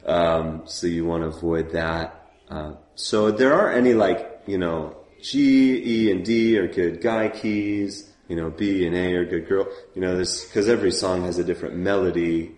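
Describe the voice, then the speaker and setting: A man's voice: deep voice, moderate pace, a little mellow, roomy sound. A man who leads worship, talking casually and loosely about song keys, with many fillers. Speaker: worship leader teaching. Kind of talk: casual teaching talk.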